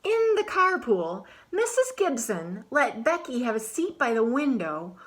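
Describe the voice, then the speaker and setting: A woman's voice: a little high, very animated, measured pace. A woman reading the lines of a grumpy young boy from a picture book, complaining and emphatic. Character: grumpy young boy having a bad day. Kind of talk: picture book read aloud.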